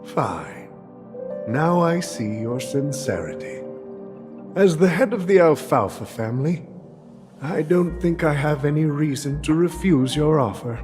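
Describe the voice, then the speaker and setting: Sly old merchant boss in a game, slow drawl-out delivery, pitch swooping around, big hall reverb. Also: male voice, animated. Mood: measured, solemn. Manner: slow, savoring, amused and calculating. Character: sly, theatrical old male merchant family head. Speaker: game voice actor. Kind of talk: dramatic video game dialogue. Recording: long hall reverb over faint music.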